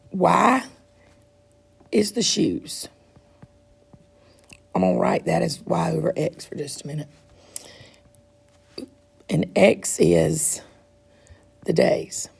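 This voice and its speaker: A woman with a congested, raspy, froggy throat, patiently walking students through a math quiz step by step as their teacher. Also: on the low side, darkish tone. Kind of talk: recorded math lesson walkthrough.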